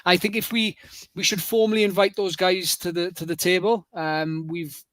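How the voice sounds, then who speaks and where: A man's voice: sits high, flat delivery, normal speed, a bit bright. A man with a Welsh accent leading a committee call, chatty and repeating words as he starts sentences. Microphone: online call.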